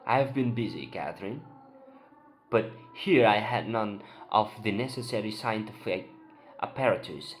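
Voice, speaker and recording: A man playing an excitable, proud town doctor in an amateur dramatic play reading, with an emphatic, declamatory delivery. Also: on the low side, normal speed, a little hiss or hum, lightly acted. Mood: earnest, explanatory. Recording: voice over prominent background music.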